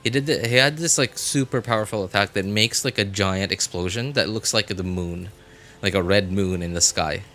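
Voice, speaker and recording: A man's voice: lowish voice, normal speed, sharp tone, slight background noise. A man who is a game streamer, giving casual live commentary and thinking aloud in a rambling way. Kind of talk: casual livestream gaming commentary. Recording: music behind the voice.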